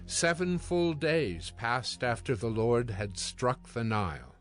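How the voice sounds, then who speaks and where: A man's voice: mid-range voice, expressive, takes it slowly. A man narrating scripture from a script as part of a dramatized reading. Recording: music bed behind the voice. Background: music.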